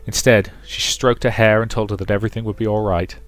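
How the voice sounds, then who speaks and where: A man's voice: on the low side, fairly even intonation, fast, no echo. A man reading a visual novel aloud as dramatic storybook narration for a let's play.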